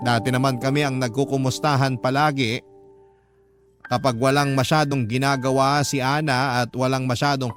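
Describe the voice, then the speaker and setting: Male story narrator over music. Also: mid-range voice. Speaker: narrator of a love story series. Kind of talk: first-person scripted story narration. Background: music clearly under the voice.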